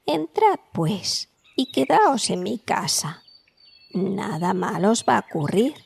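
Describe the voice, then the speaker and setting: A woman's voice: mid-range voice, very animated, creaky, a little mellow, husky, no room sound, full character voice. A woman playing a very old witch in a fairy tale who feigns kindness, coaxing in a sweet manner. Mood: falsely kind. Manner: slow, sugary, coaxing.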